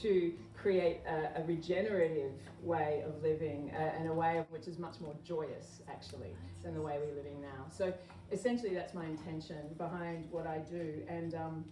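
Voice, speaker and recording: Echoey, low-voiced woman giving an informal talk. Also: fairly even intonation, normal speed, few pauses, warm tone. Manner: earnest, explanatory, conversational. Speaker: naturopath and health educator giving a talk. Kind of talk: informal public health talk. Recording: echoey room, distant mic, background noise.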